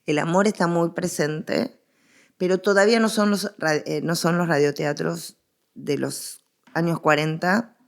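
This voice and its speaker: A woman's voice: lowish voice, fairly even intonation, unhurried, vocal fry. A woman with an Argentine accent explaining things as an interviewed expert, emphatic and given to repetition. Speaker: interviewed history and literature expert.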